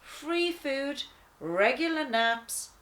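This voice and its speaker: An easygoing, chatty lion as a woman storyteller plays it talks straight to the listener in a wry, laid-back way. The pace is unhurried and the pitch swings expressively, with pauses for dramatic effect in storybook style. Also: animated, a bit bright, full character voice. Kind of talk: first-person children's storybook narration.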